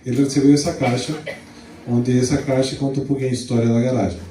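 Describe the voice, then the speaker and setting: A middle-aged man from Venezuela, hosting a launch event in a relaxed, chatty way with restarts, speaking into a handheld mic in a hall. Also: medium pitch, fast, distant mic, slight background noise. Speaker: event host. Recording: echoey hall, mic some way off.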